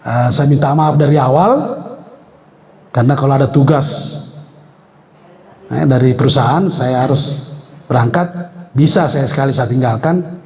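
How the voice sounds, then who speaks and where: A man's voice: medium pitch, normal speed, long pauses, a little mellow. Older man speaking in an echoey room. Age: 60s. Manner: chatty and joking, with many hesitations. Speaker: retired health official addressing an association meeting. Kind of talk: informal speech at a meeting.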